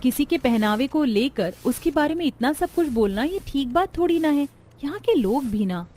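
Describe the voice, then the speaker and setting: Woman acting a modest young housewife, high voice. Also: animated, normal speed, mellow. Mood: disapproving. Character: young village-bred housewife, modest and thoughtful. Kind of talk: cartoon story dialogue. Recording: music bed under voice.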